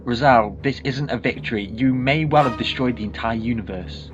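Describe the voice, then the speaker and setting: A man voicing a brisk, eccentric, time-travelling alien adventurer in a fan audio drama. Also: medium pitch, lightly acted. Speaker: lead character. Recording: slightly muffled, over loud music.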